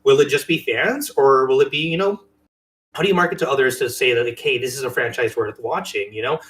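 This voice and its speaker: A man's voice: mid-range voice, a little fast, a bit bright, low-quality recording. A man hosting a casual podcast chat about games and movies, with a playful, jokey way of talking. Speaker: podcast host.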